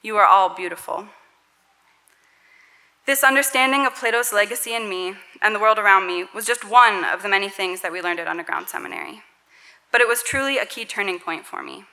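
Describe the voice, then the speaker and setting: A woman's voice: mid-range voice, lively intonation, brisk, pushed and bright, rough recording. A woman giving a sincere, grateful graduation speech to her church community.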